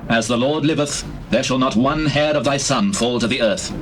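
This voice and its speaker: A man's voice: mid-range voice, fairly bright tone, a little hiss or hum, natural voice, low-quality recording. Actor as a grave, commanding biblical king. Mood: grave assurance. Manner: measured, commanding. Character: grave, authoritative biblical king granting judgment. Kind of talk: dramatized scripture audio drama, formal archaic speech.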